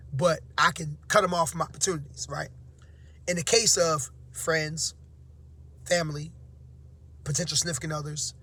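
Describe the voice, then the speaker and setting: Hoarse man giving casual life advice. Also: a little high, pushed and bright, a little hiss or hum. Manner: conversational, many fillers and "right?" tags. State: slightly hoarse, half-awake. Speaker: teacher and vlog host. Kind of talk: casual motivational talk to camera.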